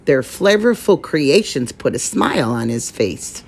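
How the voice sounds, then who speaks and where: A woman reading a children's picture book aloud, giving the rhymes a measured, expressive delivery. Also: rumbling low, vocal fry. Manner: measured, expressive rhyming narration. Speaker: storyteller.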